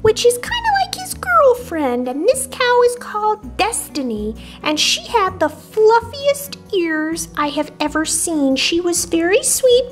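A woman voicing a cute, excitable little lamb girl plush toy who narrates a travel vlog in a chirpy, childlike, giggly way. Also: very high-pitched, full character voice. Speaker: vlog host.

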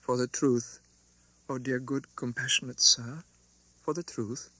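A male narrator puts on a young gentlewoman for a classic audiobook. She is anxious and soft-spoken, pleading in formal, hesitant phrases. The voice is husky and breathy, close and dry. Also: medium pitch, steady tone, unhurried, no echo, poor audio. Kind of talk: dramatised audiobook reading.

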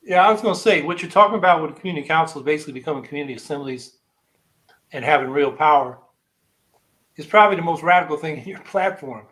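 Older male podcast host, somewhat high pitch, thoughtful interviewer on a video call. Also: fast, fairly bright tone. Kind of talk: casual interview on a livestream. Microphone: video call webcam.

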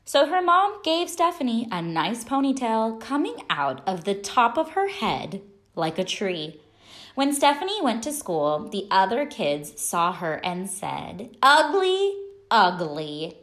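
A woman reads a children's story aloud. Her delivery is slow and deliberate, with lively, expressive swings of pitch and dramatic pauses. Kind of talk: children's picture book read-aloud.

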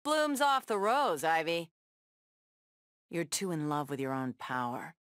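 A woman's voice: sits low, sing-song, unhurried, a bit bright, clear, resonant tone, dry recording, clean studio sound, full character voice. A woman playing a tough, sassy superheroine in a video game, trading confident, defiant taunts and wry one-liners. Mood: cocky, taunting. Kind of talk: video game battle banter.

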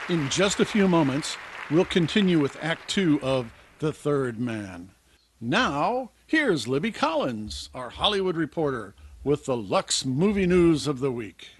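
A male announcer in the polished style of 1950s radio advertising. His voice is fairly high, very lively and husky, recorded dry. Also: a little slow, some chatter behind. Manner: polished, promotional.

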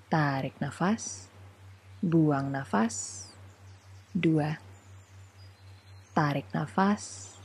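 A woman guides a meditation as an instructor. Her voice is low and dark, with a soft husky edge. She speaks very slowly and soothingly, giving each short phrase room before the next. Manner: soothing, unhurried, gently paced phrases with pauses.

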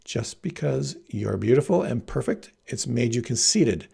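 A man acts out a proud, lovesick farm girl in a storybook. The voice is husky and creaky, lifted a little, with gushing, affected fancy airs. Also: mid-range voice, animated, measured pace, mellow, heavily acted. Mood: wounded defiance.

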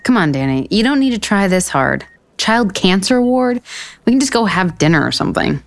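A woman's voice: mid-range voice, sing-song, normal speed. An actress playing a blunt, sarcastic, self-deprecating woman writer in a naturalistic romantic comedy audio drama. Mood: dry, teasing.

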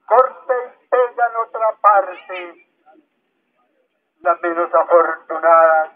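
A man's voice: sits high, narrow, tinny audio. A man reciting poetry as a reader at a public event in an outdoor park. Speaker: poet reading in public. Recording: thin, band-limited megaphone sound outdoors.

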